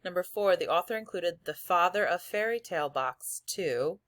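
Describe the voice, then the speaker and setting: A woman's voice: fairly low pitch, expressive, normal speed, fairly bright tone, dry recording. A woman schoolteacher reading aloud and giving her class encouraging, step-by-step instructions in a recorded lesson. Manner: addresses pupils directly, encouraging, step-by-step. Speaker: elementary school teacher.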